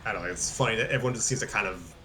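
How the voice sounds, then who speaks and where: A man's voice: on the low side, very fast, pushed and bright. A man casually hosting a livestream, reading a story aloud and chatting in a hesitant, hedging way. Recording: background music clearly audible. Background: music.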